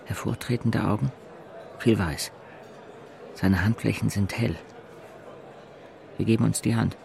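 A man's voice: on the low side, steady tone, a little slow, creaky, a little mellow. A man acting as a brooding, wry teenage boy who narrates his inner thoughts tersely in a radio drama. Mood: brooding, intense. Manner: introspective, terse. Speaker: first-person narrator.

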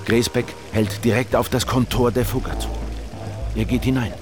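An actor playing a hunted, watchful revolutionary who narrates a radio drama in clipped sentences. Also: male voice, mid-range voice, fairly even intonation, moderate pace, close to an everyday voice. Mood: tense, terse. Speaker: narrator and protagonist. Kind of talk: literary radio drama, present-tense scripted narration. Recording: music behind the voice.

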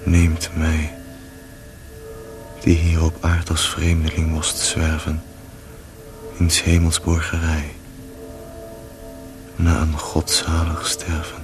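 An actor playing a worn-out dying man who prays slowly and resignedly for mercy in a radio play. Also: extremely low pitch, monotone, heavily acted. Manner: slow, resigned, devout. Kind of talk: solemn prayer in verse in a radio play. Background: music.

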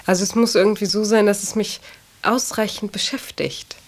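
A woman's voice: medium pitch, fairly even intonation, normal speed. A woman in her twenties talking casually and reflectively about herself, often tagging phrases with a questioning "ja". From Germany. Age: 20s. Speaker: interviewee. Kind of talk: reflective casual personal talk.

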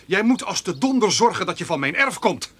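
Fiery, blunt farmer, sharp-voiced. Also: male voice, sits high, sing-song, brisk, husky, heavily acted. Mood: furious. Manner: blunt, grumbling, swearing. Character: hot-tempered stubborn farmer, blunt and defiant. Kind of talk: Dutch radio drama acting.

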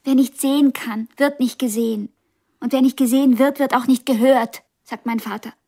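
An actress in a radio drama playing a blind young pianist, wounded yet ambitious and frank, with a halting, intense delivery. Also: female voice, sits high, steady tone, mellow. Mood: yearning, determined.

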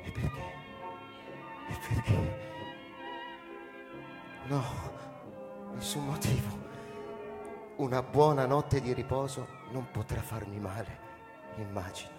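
An actor playing a bewildered, anxious space captain in a radio drama, halting and thinking aloud as suspicion grows. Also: male voice, mid-range voice, steady tone, lightly acted. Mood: uneasy, weary. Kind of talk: radio drama acting, inner monologue. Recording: long reverb, music underneath.

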